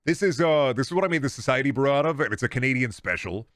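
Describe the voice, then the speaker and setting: A man's voice: medium pitch, lively intonation, quick pace, fairly bright tone, no room sound, studio quality. A man from Iowa with an American accent chatting casually on a livestream, rambling and sardonic, repeating words for emphasis. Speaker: game streamer.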